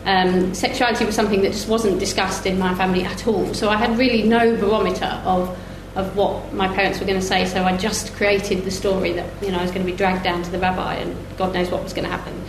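A woman's voice: medium pitch, little pitch movement, fast talker, few pauses. A middle-aged woman telling personal stories on a live panel, candid and wry. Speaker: panellist, team sports athlete. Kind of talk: personal storytelling at a panel talk. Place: live festival panel in a roomy venue. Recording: echoey room with clear background noise.

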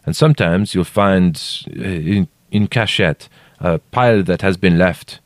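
Gruff male guide man acting, low voice, careful second-language delivery, authoritative, audiobook, very dry recording. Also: measured pace, no room sound.

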